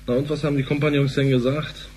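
An insistent, suspicious businessman played by a male actor in a sci-fi radio play. His voice sits at an average male pitch, with faint noise behind it. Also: flat delivery, fast, a little mellow, lightly acted. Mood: friendly, curious. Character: insistent, suspicious male former bank partner.

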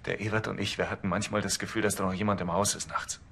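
An actor playing a rattled, defensive male suspect under police questioning in a TV crime comedy, with a rough voice. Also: fairly low pitch, little pitch movement, fast, a bit bright, heavily acted. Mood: unsure. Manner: defensive, protesting. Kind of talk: TV comedy crime series acting.

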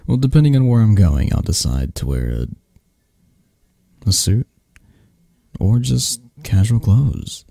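A possessive yet tender mafia boss, acted by a man for an ASMR romance roleplay, murmurs intimately and teasingly. The delivery is slow and halting, with a husky edge and a close, dry sound. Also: fairly low pitch, very animated, mellow, no echo, exaggerated voice. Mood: relaxed, possessive.

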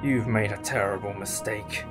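A man's voice: on the low side, fairly even intonation, moderate pace, over loud music, close to an everyday voice. A man playing a driven, defiant time-travelling hero in a fan audio drama, earnest and dramatic.

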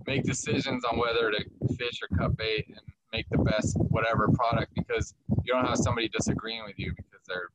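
A man in his thirties, a winemaker, speaking outdoors in a vineyard over a video call, halting and rambling. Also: mid-range voice, steady tone, dull audio. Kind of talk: casual chat. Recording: loud wind noise.

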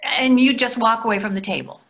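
Needling, reluctant wife for a play reading: older, bright, slightly high, defensive. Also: female voice, brisk. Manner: hesitant, defensive. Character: anxious, needling, reluctant woman therapist and wife. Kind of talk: readers theatre over video call. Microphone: video call.

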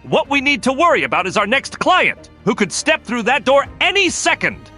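Man voicing a brash, bright-voiced crocodile detective boss. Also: extremely high pitch, sing-song, moderate pace, faint music behind, exaggerated voice. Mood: businesslike. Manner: commanding, barks orders. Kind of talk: comic dub voice acting.

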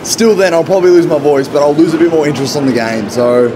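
A young man in his twenties with an Australian accent, vlogging nervously and excitedly as a football fan from the stands, phone at arm's length. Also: a little high, fast talker, heavy background noise. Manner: animated, nervous fan commentary. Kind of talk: excited game-day vlog chat. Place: packed outdoor stadium stands. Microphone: phone held at arm's length. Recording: loud roaring crowd and stadium music.

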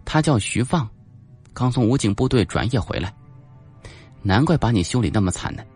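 A man voicing a sharp-tongued, teasing, jealous young policewoman in an audiobook. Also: mid-range voice, lively intonation, moderate pace, husky, soft background music. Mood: brisk. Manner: teasing, bossy. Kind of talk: audiobook character acting.

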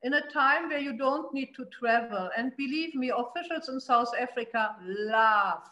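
A woman's voice: high-pitched, normal speed, pushed and bright, muffled recording. German-accented woman on a roomy video call. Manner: earnest, emphatic, frequent restarts and fillers. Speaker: city investment promotion official. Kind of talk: panel discussion on a video call. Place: indoor room at home. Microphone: laptop webcam on a video call.

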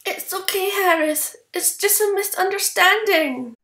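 Woman playing a young forest girl, very high bright voice, sweet, girlish, comic dub. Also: animated, a little slow, reverberant, heavily acted. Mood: pleading. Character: gentle young magical forest girl, naive, playful, fiery when hurt. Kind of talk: voice acting in a comic fan dub.

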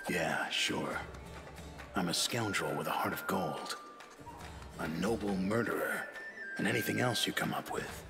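An actor playing a wry, roguish dwarf mercenary in a fantasy video game, speaking in a deliberate, dryly amused way. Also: male voice, low voice, vocal fry, music in the background. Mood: dryly mocking.

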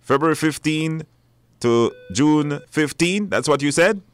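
A man's voice: on the high side, expressive, a little slow, clean tone. A man hosting a live radio show, announcing informally with hesitations and repetition. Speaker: radio talk show host. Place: dry radio studio.

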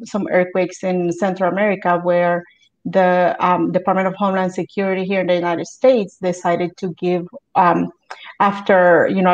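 A middle-aged woman from El Salvador, telling her story as a guest on a video call, steady with many ums. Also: on the low side. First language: Spanish. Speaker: guest, community advocate. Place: home room with bookshelves. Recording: dull lo-fi video call audio.

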